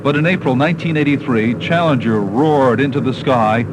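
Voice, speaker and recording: A man's voice: medium pitch, moderate pace, noisy background. A man with an American accent reads scripted documentary narration, recorded on a 1980s cassette tape. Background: background music.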